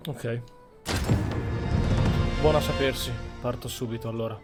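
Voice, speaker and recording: A man's voice: mid-range voice, fairly even intonation, moderate pace. An Italian man doing gameplay commentary, partly speaking in character as he plays. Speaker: gamer roleplaying while playing. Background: loud game music.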